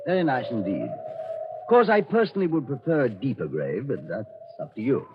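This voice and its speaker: An actor playing a coolly menacing Nazi colonel in 1940s radio style, commanding and sardonically polite. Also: male voice, mid-range voice, sing-song, moderate pace, slight background noise, close to an everyday voice. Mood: smooth menace. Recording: dull, husky-sounding old radio recording.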